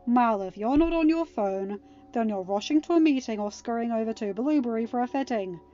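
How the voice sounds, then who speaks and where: A woman's voice: a little high, lively intonation, brisk, warm tone, natural voice. Woman acting stubborn young woman, blunt, concerned, bossy, dull lo-fi recording, audiobook dialogue. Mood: confrontational. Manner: blunt, challenging. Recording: dull lo-fi home recording, music underneath.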